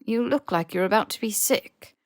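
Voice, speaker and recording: A woman's voice: mid-range voice, expressive, moderate pace, no room sound, studio quality, close to an everyday voice. A woman voicing a stern, proper older headmistress with a formal, authoritative manner in an audiobook. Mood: concerned.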